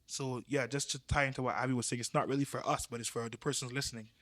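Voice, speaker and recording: A man's voice: mid-range voice, fairly even intonation, quick pace, dry recording. Young barbadian guy on a youth podcast, earnest, explains stuff, dry close sound. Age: young adult. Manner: earnest, explanatory, trails off quietly. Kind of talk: casual group discussion.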